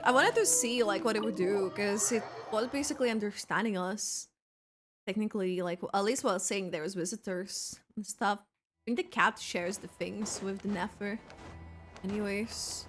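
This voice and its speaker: A woman's voice: mid-range voice, normal speed. A woman doing casual gaming commentary as she reacts to a video game. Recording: game music behind. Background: video game music.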